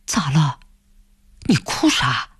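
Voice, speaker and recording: Woman voicing an earnest rustic young husband, very animated. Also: on the low side, moderate pace, dry recording, clean studio sound. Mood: flustered concern. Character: young village husband, good-natured, eager, anxious. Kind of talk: audiobook character voice.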